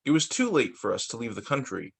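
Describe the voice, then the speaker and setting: A man's voice: mid-range voice, sing-song, fast talker, creaky, breathy edge. A man reading a children's book aloud as a teacher, occasionally stumbling, repeating phrases and adding casual asides. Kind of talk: children's story time read-aloud.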